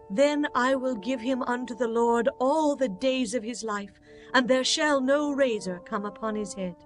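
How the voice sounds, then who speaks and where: A woman's voice: a little high, close to an everyday voice. A woman playing a devout, earnest wife of ancient Israel in a dramatized scripture reading, speaking reverently and with measure. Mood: pleading. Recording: music bed behind the voice.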